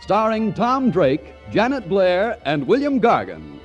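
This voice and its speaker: A man's voice: high-pitched, wildly swooping pitch, slow, dull audio. A man announcing formally for a 1940s radio theater broadcast. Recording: vintage radio, loud orchestra and applause. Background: loud music and audience.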